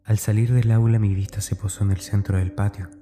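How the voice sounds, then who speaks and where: Un hombre interpretando a un escolar atormentado, voz muy oscura. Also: male voice, on the low side, little pitch movement, a little fast, dark tone, close to an everyday voice. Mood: subdued, confiding. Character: tormented, fearful schoolboy writing in his diary, 1965. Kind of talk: dramatic first-person diary narration.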